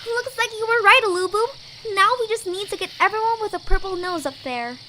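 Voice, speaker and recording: A woman's voice: very high-pitched, fairly quick, pushed and bright, a little hiss or hum. A woman voicing a plucky, exasperated young cartoon creature in an audio drama, blunt and wry. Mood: matter-of-fact. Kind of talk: audio drama character acting.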